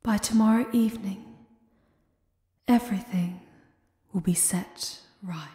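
Woman acting a creepy, breathy obsessive servant. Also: mid-range voice, no echo, studio quality. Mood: hushed yearning. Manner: hushed, breathy, menacingly calm, halting. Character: creepy obsessive castle servant, devoted to a mistress. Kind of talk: ASMR roleplay.

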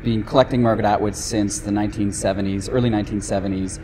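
Middle-aged male host, low voice, quick talker, librarian interviewing a guest, faint room noise. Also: steady tone, darkish tone. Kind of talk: conversational interview.

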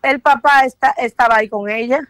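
A woman's voice: on the high side, fairly even intonation, brisk, fairly bright tone, no room sound. A young woman, confused and repeating herself during a phone call. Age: young adult. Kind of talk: casual phone conversation. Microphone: telephone call.

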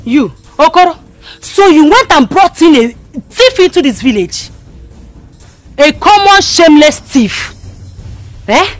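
An actress with a Nigerian accent playing an irate village woman, loud and accusatory, in a film drama. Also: high-pitched, very animated, fast talker, pauses often, full character voice. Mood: furious, accusing.

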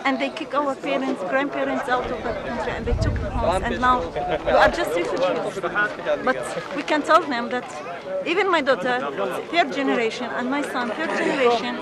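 A woman's voice: high voice, normal speed. A middle-aged woman, a refugee, giving an earnest and insistent interview outdoors. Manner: earnest, insistent, repeating phrases. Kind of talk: street interview. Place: outdoors in a crowd. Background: loud crowd.

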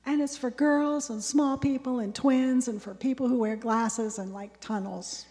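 A woman's voice: fairly high pitch, steady tone, unhurried, dark tone. A woman doing a stubborn boy guarding his house. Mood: relenting. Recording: long hall reverb.

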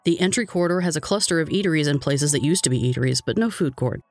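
American man, slightly high-pitched voice, scripted informative narration, light background music. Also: animated, quick pace. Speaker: video narrator.

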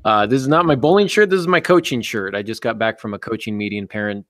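A man's voice: lowish voice, quick pace, fairly bright tone, no room sound. Dry, joking man hosting a podcast. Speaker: podcast host and football coach. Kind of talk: casual live podcast chat. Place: indoor office, on a video call. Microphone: close desktop microphone.

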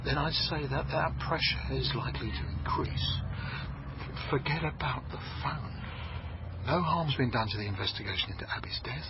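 An actor playing a clipped, evasive senior police officer in a naturalistic radio crime drama. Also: male voice, mid-range voice, muffled recording, noisy background, lightly acted. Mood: firm, warning. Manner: clipped, guarded.

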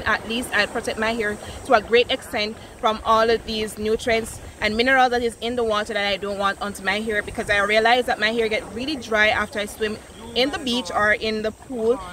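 A young woman with a Jamaican accent talks to the camera in a friendly, chatty way, walking through her routine step by step. Her voice sits a little high, and there is a faint outdoor hum behind her. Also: fairly bright tone, low-quality recording. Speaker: natural hair vlogger. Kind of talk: casual chat to camera. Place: outdoors on a rocky beach. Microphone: camera in front at close range.

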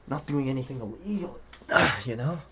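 A man playing an obsessive, playful young intruder in an ASMR roleplay, coaxing the listener like a doting owner, with hesitant pauses and hushed asides. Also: medium pitch, noisy background, lightly acted. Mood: playful, smug.

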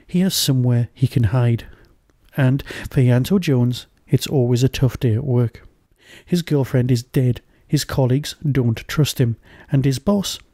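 A man's voice: medium pitch, warm tone, no echo, clean studio sound. A man hosting a podcast, delivering a review as a monologue and often answering his own rhetorical questions.

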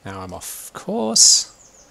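A man's voice: deep voice, huge pitch swings, extremely slow, creaky, sharp tone, a little hiss or hum. A young man leading a sailing club game session on a headset mic, chatty, laughing often and thinking aloud as he plays. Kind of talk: casual instructive chat while gaming.

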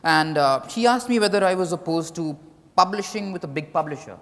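A man's voice: on the high side, fairly quick, fairly bright tone, close to an everyday voice. An Indian-accented man playing a candid, evasive writer in a dramatized stage reading. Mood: guarded. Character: Mriganko, candid, evasive male writer and lover. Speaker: actor. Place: large hotel ballroom stage, indoors. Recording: echoey hall stage recording.

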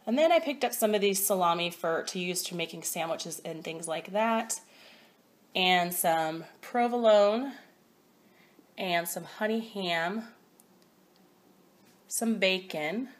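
A woman in her thirties, hoarse from a cold, chatting casually through a grocery haul at home with many ums. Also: lowish voice, takes it slowly, long pauses. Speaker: vlogger. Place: at home, by a table.

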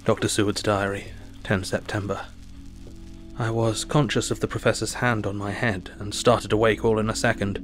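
A man acting an earnest asylum doctor who reads his diary aloud in an audio drama. The voice is low, solemn and measured, with clear music underneath. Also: little pitch movement, close to an everyday voice. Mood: sober. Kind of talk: first-person dramatic audio narration.

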